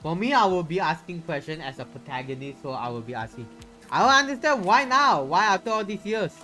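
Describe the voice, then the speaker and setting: A man with a slightly high voice reads a visual novel aloud. His delivery is theatrical and playful, slipping into casual streamer reactions. Music and rain sound behind him. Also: sing-song, a little fast, pushed and bright.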